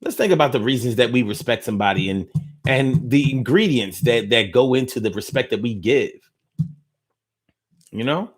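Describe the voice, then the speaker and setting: A man's voice: medium pitch, expressive, moderate pace. A man hosting a podcast, riffing loosely in a playful, rambling way with heavy slang and repetition. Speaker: podcast host. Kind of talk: casual late-night podcast sign-off.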